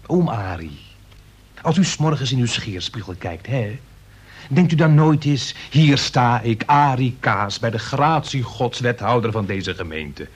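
A man's voice: mid-range voice, animated, a little slow, slightly rough. A man playing a nervous but cheeky grown son, a municipal clerk, with wry wording in a Dutch radio play. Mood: incredulous, insolent. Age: adult. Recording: noisy old radio play recording.

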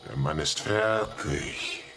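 A man's voice: very deep voice, slow pace, bright, forceful tone, heavily acted. A man playing a cold, calculating, smug company man in a radio drama. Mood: smug, dismissive.

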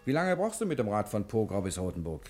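Give the voice of a breezy rogue on the run in a radio play. He is fairly low-pitched and highly animated in intonation. He banters good-naturedly and never seems rattled. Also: fast talker. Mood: jovial, bantering. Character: cocky, good-natured man on the run posing as master thief. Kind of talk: 1960 German radio play acting.

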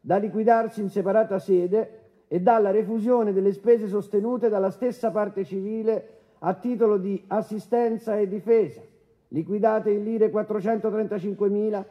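Man acting formal judge, high voice, methodical verdict reading, courtroom stage drama, slightly dull recording. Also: natural voice. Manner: official, declamatory, methodical.

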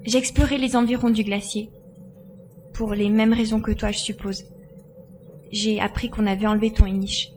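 A woman playing a spirited, capable girl pilot in an audio drama, brisk and teasing. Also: medium pitch, little pitch movement, a little mellow, soft background music, lightly acted. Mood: brisk, practical. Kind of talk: acted audio drama dialogue.

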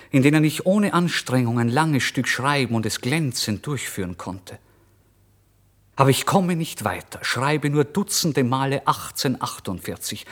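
A man's voice: medium pitch, fairly bright tone, natural voice. A male actor playing a despairing, tormented starving writer in a literary radio play monologue, theatrical in delivery. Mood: agitated, desperate. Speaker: actor narrating in first person.

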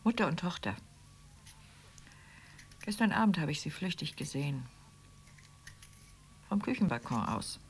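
An actress playing a chatty middle-class Roman wife and would-be writer in radio play dialogue. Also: female voice, deep voice, sing-song, measured pace, long pauses, a little hiss or hum, exaggerated voice. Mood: chatty, gossiping.

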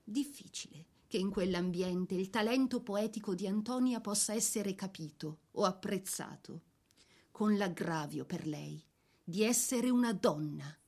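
Measured woman narrator, medium pitch. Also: creaky, darkish tone. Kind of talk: scripted literary biography narration for radio drama.

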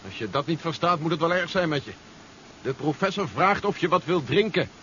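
Commanding general, urgent, quick-fire, clipped, 1970s radio play, muffled hissy sound. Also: male voice, fairly high pitch, animated, fairly bright tone. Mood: relieved. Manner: clipped, impatient orders. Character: urgent, commanding male general leading a tense mission. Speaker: actor in a radio drama. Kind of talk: 1970s Dutch science-fiction radio play acting.

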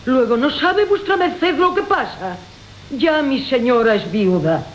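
A woman's voice: high voice, expressive, a little slow, rough recording. An actress playing a wily, greedy old female servant in a theatrical radio comedy, fawning and ceremonious, with a Castilian accent. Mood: fawning, mournful. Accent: Castilian Spanish. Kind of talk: theatrical Golden Age comedy radio acting. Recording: hissy 1980 radio drama recording.

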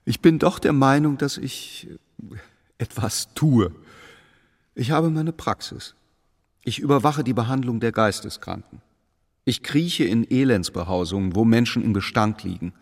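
An actor playing a reserved, idealistic doctor of the Enlightenment in a radio play, speaking in a measured and quietly firm way. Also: male voice, medium pitch, close to an everyday voice. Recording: long reverberant hall.

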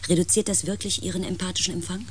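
An actor playing a compassionate narrator and protagonist in a science fiction radio play, gentle and reflective, over faint background noise. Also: male voice, high voice, level tone, fast. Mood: calm, tender. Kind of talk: radio drama narration and dialogue.

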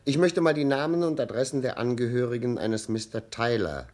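An actor playing a dutiful, matter-of-fact assistant detective with a clipped, businesslike manner in an old radio crime play. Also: male voice, medium pitch, a little fast, darkish tone, natural voice. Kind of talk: old German radio crime drama acting.

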